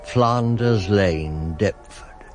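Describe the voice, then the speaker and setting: The storyteller of a radio crime thriller, with a very low voice. He is measured and suspenseful, over prominent music. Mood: grave, suspenseful. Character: male narrator of a foggy crime thriller. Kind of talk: dramatic scripted narration, 1970s radio drama. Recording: radio drama with loud music underneath. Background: loud music.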